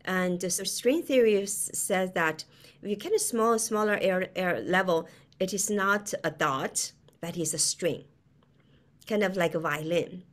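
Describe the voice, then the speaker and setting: A middle-aged woman from China with a Chinese accent, explaining in a conversational, halting way as an interview guest, often with a chuckle in her words. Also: lowish voice. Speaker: guest, quantum physicist. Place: indoor room, video call.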